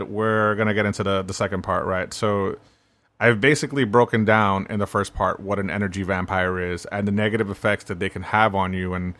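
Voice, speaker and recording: A man's voice: fairly low pitch, fairly bright tone, no room sound. A man hosting a self-help podcast, talking in a laid-back, conversational way and often checking in with "right?". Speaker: podcast host.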